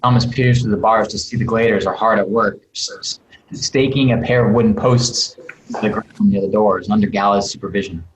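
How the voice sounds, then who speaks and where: A man's voice: lowish voice, fairly even intonation, a little fast. A man reading stage directions as scripted narration at a table read over a video call. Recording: roomy, echoing video call audio.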